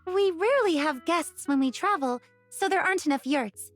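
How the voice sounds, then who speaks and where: A woman's voice: extremely high pitch, animated, normal speed. A woman playing a forthright, friendly young grassland tribeswoman in a romance game, speaking in a direct way. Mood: frank, friendly. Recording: music behind the voice.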